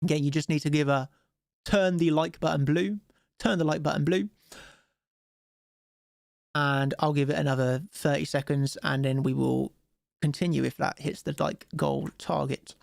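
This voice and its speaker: A man's voice: fairly high pitch, steady tone, moderate pace, vocal fry, pauses often, no room sound, clean studio sound. A man with a cold and a stuffy nose, chatting casually while hosting a live trading stream and asking viewers for likes. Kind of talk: live-stream chart commentary.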